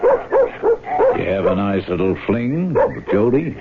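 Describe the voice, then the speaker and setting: Sly, menacing farm brother, wildly swooping. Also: male voice, on the low side, wildly swooping pitch, slow pace, heavily acted. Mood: sly, insinuating. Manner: drawling, insinuating folksy talk. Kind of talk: 1970s radio drama acting. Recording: tinny, noisy old radio broadcast.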